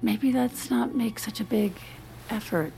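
A woman in middle age playing a restrained, uneasy wife who is gently exasperated, acted naturalistically for audio drama with a hesitant manner. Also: fairly high pitch, unhurried, gravelly. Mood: gentle, uneasy.

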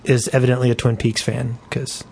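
A man's voice: mid-range voice, completely flat delivery, fairly quick, creaky, warm tone, breathy edge. A man chatting casually as a podcast co-host. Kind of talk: casual chat.

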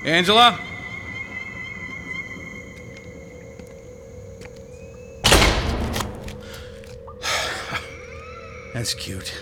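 A wary searcher whose lively voice leaps around as he calls into the dark. Music hums under his tense lines in this radio drama. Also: a little high, full character voice. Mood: calling out. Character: wary male searcher named Brown.

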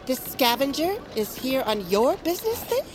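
An actress playing a haughty, stern, commanding market guard in audio drama style. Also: female voice, sits high, very animated, normal speed, noisy background. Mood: imperious.

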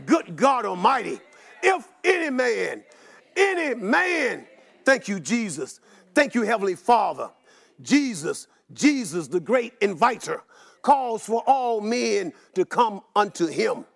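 A man preaching a sermon in a rhythmic chant, running through long repeated lists and rising into shouts. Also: high voice, sing-song, takes it slowly, vocal fry.

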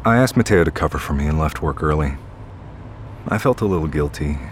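A man's voice: very deep voice, animated, brisk. An actor playing a weary, troubled man, terse and blunt, in a first-person audio drama. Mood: weary, brooding. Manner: terse, plain-spoken. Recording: background ambience and noise.

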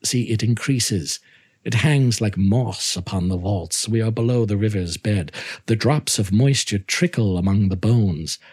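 A man's voice: on the low side, unhurried, darkish tone, slightly rough. A man playing a sly aristocrat who speaks with courteous, coaxing false concern in a Gothic story. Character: sly, falsely solicitous aristocratic man luring his friend.

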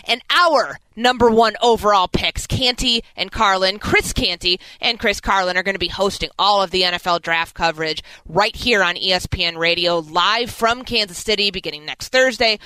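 Bright, clear woman hosting sports radio. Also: mid-range voice, normal speed, few pauses, no echo, poor audio. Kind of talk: sports radio talk and promos. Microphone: close studio broadcast microphone.

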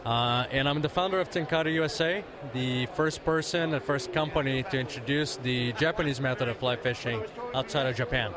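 A man from Brazil, a company founder, explaining his product in a relaxed way with small pauses. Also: mid-range voice. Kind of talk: interview answers. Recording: busy crowd chatter behind.

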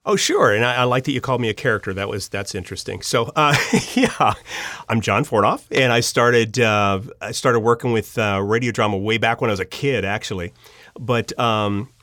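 A man's voice: on the low side, no room sound. American man telling personal stories, close dry sound. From Pennsylvania, United States. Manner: informal, halting, animated asides with acted-out quotes. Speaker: interview guest, storyteller and writer. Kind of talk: casual personal testimony and storytelling.

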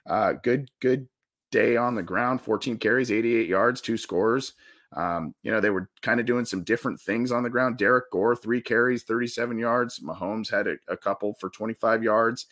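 A man hosting a sports podcast, hoarse with a scratchy throat, walking through a box score with halting restarts and excited spikes on big numbers. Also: mid-range voice. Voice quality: raspy. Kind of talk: postgame stat breakdown.

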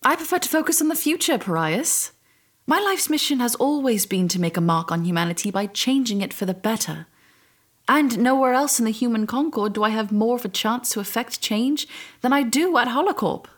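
A woman's voice: a little high, expressive, fairly quick. A woman playing a guarded, self-assured scientist who speaks formally in a sci-fi audio drama interview. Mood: composed, formal.

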